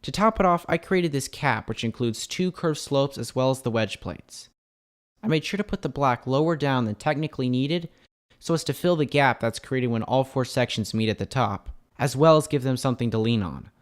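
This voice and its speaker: A man's voice: mid-range voice, moderate pace. A man hosting a hobby building channel, narrating a scripted showcase in an enthusiastic way. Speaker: host of a LEGO building channel.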